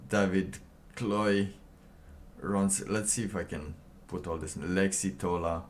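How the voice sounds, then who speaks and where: A man with a low-pitched voice hosts a casual livestream, reading aloud and adding his own comments. He talks slowly and haltingly, rambling with pauses mid-sentence. Faint noise sits behind the voice. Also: steady tone. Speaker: livestream host.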